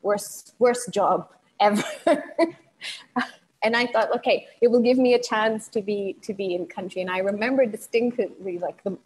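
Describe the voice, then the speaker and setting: A woman's voice: mid-range voice, normal speed, darkish tone, slight background noise, poor audio. A woman from Portugal, a guest speaker on an academic webinar, answering thoughtfully with frequent restarts over earphone mic on a video call. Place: home room with bookshelves. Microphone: wired earphones mic on video call.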